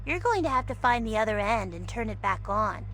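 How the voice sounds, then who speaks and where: A woman's voice: a little high, sing-song, a little fast, a bit bright. A woman voicing an eager, bossy little girl in a video game, with a childlike manner. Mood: earnest. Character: excited, bossy little girl playing space crew make-believe.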